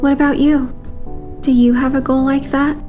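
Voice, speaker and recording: Casting: actress as earnest young game heroine, high-pitched and very soft-toned, sincere, light music underneath. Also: female voice, level tone, normal speed, close to an everyday voice. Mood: friendly, tentative.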